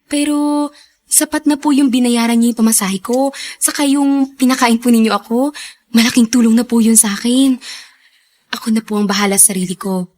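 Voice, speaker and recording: A woman's voice: a little high, fairly even intonation, normal speed, pauses often, darkish tone, lightly acted. A woman playing a timid, polite and apologetic young runaway worker in a radio drama. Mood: subdued, polite. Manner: deferential, apologetic. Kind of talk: Filipino radio drama acting.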